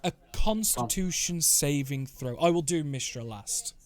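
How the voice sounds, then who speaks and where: A man's voice: fairly high pitch, expressive, measured pace. Male game master running the table. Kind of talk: narrating and refereeing a tabletop game. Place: online group game session.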